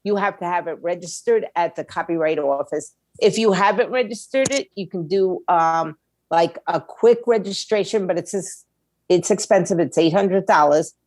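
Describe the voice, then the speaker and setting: Female expert guest, middle-aged, lowish pitch, dry recording. Candid, plain-spoken, conversational explainer. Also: normal speed, a little mellow, no room sound. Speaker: guest, music industry attorney. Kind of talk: conversational interview answers, explaining advice.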